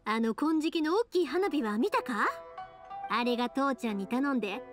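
A woman's voice: high-pitched, lively intonation, moderate pace, faint music behind. A woman voicing a cheerful, sincere young fireworks maker in an anime-style game, with a Kansai accent and a friendly, earnest, colloquial manner. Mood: moved, delighted.

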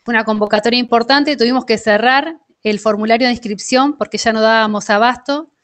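Argentine woman, thirties, fairly high voice, workshop organiser presenting on a video call from home. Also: brisk, fairly bright tone. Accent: Argentine Spanish. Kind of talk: online presentation. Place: indoor kitchen at home. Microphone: earphone mic held near mouth, video call.

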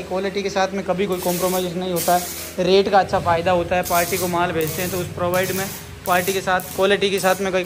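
A man rattles through a sales pitch at a rapid clip. His delivery stays on one level, circling back over the same selling points. He is recorded in a noisy workshop. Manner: repetitive, running sales patter. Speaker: factory owner promoting his workshop's products. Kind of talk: improvised promotional video pitch to camera. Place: welding workshop at a factory. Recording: noisy workshop with hiss and machinery.

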